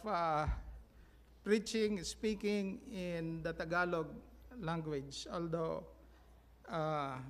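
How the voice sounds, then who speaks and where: Halting Filipino-accented man, high voice. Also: fairly bright tone. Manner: halting, many fillers. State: jet-lagged and sluggish. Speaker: church member giving mission report. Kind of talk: church announcement talk. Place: church sanctuary.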